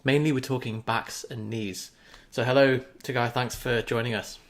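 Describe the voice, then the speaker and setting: A man's voice: medium pitch, fairly even intonation, normal speed. Man hosting a fitness podcast. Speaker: podcast host. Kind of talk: casual podcast introduction.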